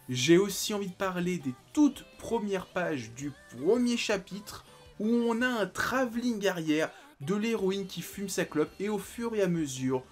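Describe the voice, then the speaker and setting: Excitable young man reviewing manga. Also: a little high. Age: 20s. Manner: animated, bursts into excited exclamations. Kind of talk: enthusiastic video review.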